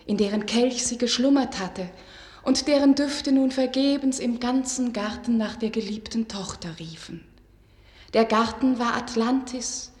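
Slow, echoing enchanted snake-maiden. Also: female voice, a little high. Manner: solemn, incantatory, loving. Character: tender, enchanting young snake-maiden, daughter of a spirit. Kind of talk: German radio play acting, fairy-tale storytelling. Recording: long reverberant echo, music behind.

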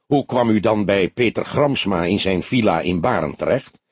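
A man's voice: lowish voice, lively intonation, normal speed, breathy edge, no room sound. A man voicing an old male police detective in an audiobook, sardonic and probing, with a curt, mocking manner. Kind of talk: audiobook character dialogue.

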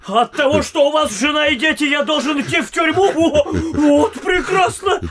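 An actor playing a cowardly, whiny young gentleman official in a comic audio play, halting and stammering with petulant bluster. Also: extremely high pitch, crowd noise. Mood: panicked bluster. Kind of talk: theatrical audio-play comedy acting.

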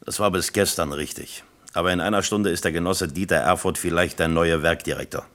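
Radio play role: East German party secretary, lowish pitch, clipped, authoritative, lecturing. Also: male voice, brisk, fairly bright tone. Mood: brisk, commanding.

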